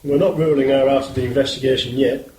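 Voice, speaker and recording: A man's voice: medium pitch, steady tone, quick pace, darkish tone, lightly acted. A British man playing an earnest, guarded police inspector in a radio drama, speaking formally and politely. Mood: grave, guarded. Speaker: actor in amateur radio play. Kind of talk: scripted radio drama dialogue. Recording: roomy, hissy amateur radio play recording.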